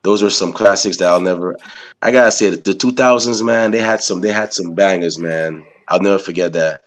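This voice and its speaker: Low-voiced guy from guyana hosting an interview, laid back, lots of "you know" and "man". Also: fairly quick. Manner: loose, rambling questions full of "you know" and "man". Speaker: interviewer, podcast host. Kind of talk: casual interview chat.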